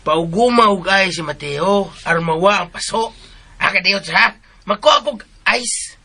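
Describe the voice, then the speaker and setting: High-pitched, excitable meddling household helper. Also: male voice, a little slow. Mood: eager. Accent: southern Philippine. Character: chatty, meddling male household helper, deferential to employers. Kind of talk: radio drama acting.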